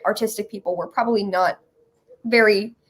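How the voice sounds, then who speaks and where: A young woman guest in a casual chat, speaking in a halting, earnest and reflective way. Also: mid-range voice, steady tone, noisy background. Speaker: guest, creator.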